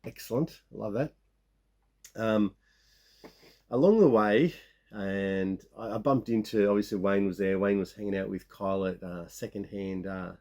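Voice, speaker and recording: A man in his fifties with a croaky sore-throat voice, rambling casually as he shows off his toy finds. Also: on the low side, mellow. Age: 50s. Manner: rambling, many restarts and fillers. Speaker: toy collecting vlogger. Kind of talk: casual show-and-tell of toy haul.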